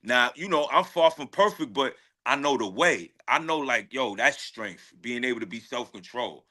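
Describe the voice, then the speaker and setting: A man's voice: medium pitch, animated, normal speed, pushed and bright. A man, a rapper talking casually in an interview with heavy slang, confident and emphatic, often repeating his phrases. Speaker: guest rapper.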